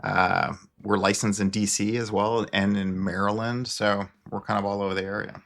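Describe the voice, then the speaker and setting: A man's voice: lowish voice, little pitch movement, moderate pace, creaky, fairly bright tone. A man working as a real estate agent, talking casually and promotionally about his business.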